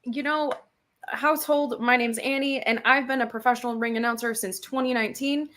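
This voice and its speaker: A woman's voice: a little high, steady tone, brisk, a bit bright. A woman who works as a ring announcer, talking as a co-host in an upbeat, self-assured, motivational way. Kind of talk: casual livestream chat.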